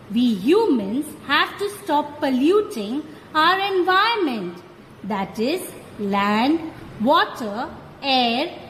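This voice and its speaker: Woman as slow, high-voiced Indian granny puppet. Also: a bit bright, full character voice. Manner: slow, deliberate, instructive delivery. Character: kindly old grandmother puppet, caring and teacherly. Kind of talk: scripted children's puppet show. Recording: echoey hall, background noise.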